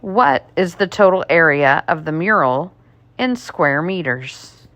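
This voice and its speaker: A woman teacher reading math test questions aloud, deliberately, item by item. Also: low voice, animated, a bit bright, no echo.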